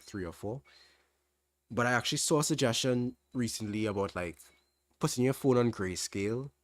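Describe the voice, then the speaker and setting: A young man with a mild Trinidadian accent answering chat questions on a livestream, relaxed, thinking aloud with restarts. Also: fairly low pitch, no echo. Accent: Trinidadian, fairly standard. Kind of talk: casual livestream Q&A.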